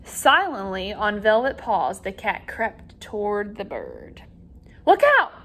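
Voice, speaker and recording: A woman's voice: medium pitch, sing-song, takes it slowly, a bit bright. A woman music teacher speaking expressively to young children in an online lesson and story read-aloud.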